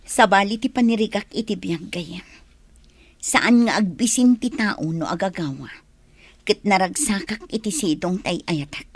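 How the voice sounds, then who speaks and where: An actress playing a troubled young woman in an Ilocano radio drama, with a husky voice and plaintive, drawn-out delivery. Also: medium pitch, dry recording. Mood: earnest, resistant. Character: troubled young woman pressed into an unwanted marriage.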